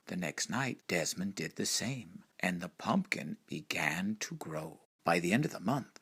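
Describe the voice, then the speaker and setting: Gravelly, animated man reading a children's story. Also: lowish voice. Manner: expressive, dramatic emphasis with hushed phrases. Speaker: storybook reader.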